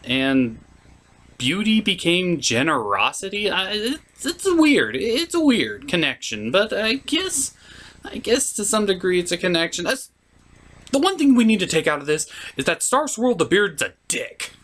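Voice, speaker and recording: A man delivers comedic review commentary as a host. His pitch moves around a lot, and he comes across as sarcastic and exasperated, talking like someone riffing on a cartoon. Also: very animated, measured pace, a bit bright.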